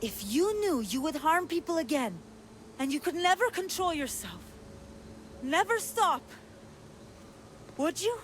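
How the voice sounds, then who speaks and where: Anguished, high-voiced young woman. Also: big pitch swings, unhurried, pauses often, slight background noise. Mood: shaken, despairing. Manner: earnest, emotional. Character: anguished young woman hiding a beastly side. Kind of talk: video game cutscene acting.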